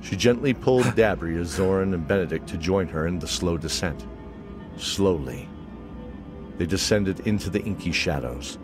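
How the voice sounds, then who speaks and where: A man's voice: deep voice, close to an everyday voice. A man narrating a scripted fantasy audio drama as its storyteller.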